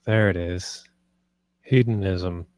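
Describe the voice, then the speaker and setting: A man's voice: deep voice, fairly even intonation, slow, a little mellow, no echo. A British man reading the part of a nervous, earnest husband in a film script, with a hesitant, understated delivery. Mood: cautious. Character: nervous, earnest husband proposing swinging to his wife. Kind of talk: film script table read, naturalistic dialogue.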